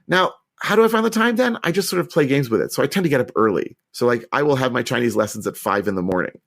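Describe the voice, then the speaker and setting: Middle-aged man, podcast guest, lively pitch, enthusiastic, chatty restarts. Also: gravelly. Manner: animated, quick restarts, chatty. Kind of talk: enthusiastic interview answers.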